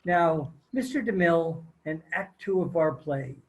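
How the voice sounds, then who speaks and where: High-pitched man as radio announcer. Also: lively intonation, a little slow, dull audio. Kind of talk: old-time radio announcing, scripted. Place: remote video call.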